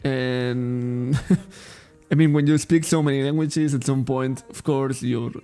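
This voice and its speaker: A man's voice: medium pitch, steady tone, takes it slowly, mellow, clean tone, faint music behind. A man from Spain, a native Catalan speaker with a Catalan accent in English, chatting casually on a card shop livestream, rambling, correcting himself and laughing often. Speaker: trading card shop streamer.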